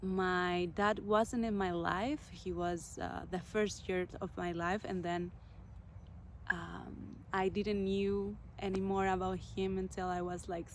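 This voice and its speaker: A woman from Chile hosting a podcast, telling her personal story slowly and reflectively, pausing to search for words. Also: medium pitch, level tone, slight background noise. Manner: slow, reflective, searching for words with soft pauses. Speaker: podcast host.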